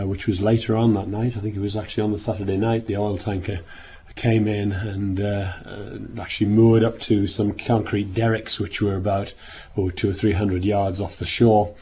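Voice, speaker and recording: A man giving a sermon in a meeting room, speaking hoarsely as he nearly loses his voice, rambling with many restarts. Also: fairly low pitch, little pitch movement, moderate pace, mellow. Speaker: minister. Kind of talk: sermon opening with travel account.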